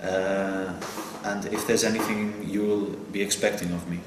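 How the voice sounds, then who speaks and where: Teenage boy playing earnest male job applicant, halting delivery, monotone, noisy echoey recording, school role-play. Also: sits low, natural voice. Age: teenage student. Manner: hesitant, frequent restarts. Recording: echoey room with clear noise.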